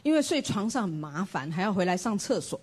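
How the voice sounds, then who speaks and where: Woman preacher, fast, husky edge, earnest testimony. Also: medium pitch, sing-song, darkish tone. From Taiwan. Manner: earnest, emphatic, with rhetorical questions. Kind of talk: sermon with personal testimony.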